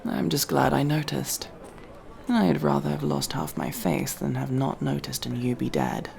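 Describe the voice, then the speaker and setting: A woman's voice: low voice, vocal fry, darkish tone, slight background noise, heavily acted. A woman playing a wry, loyal elf rogue in an intimate ASMR fantasy roleplay, with a casual, teasing, dryly supportive way of talking. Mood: subdued.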